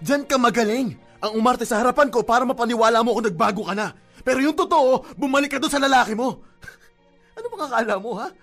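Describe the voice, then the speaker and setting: A high-voiced young Filipino boyfriend, played by a man, confronts his lover in a radio drama. He is jealous, proud and wounded, accusing and insistent, with soft music behind him. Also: fast, gravelly, exaggerated voice. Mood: angry, accusing. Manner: accusing, insistent, punctuating with sharp questions. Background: dramatic background music.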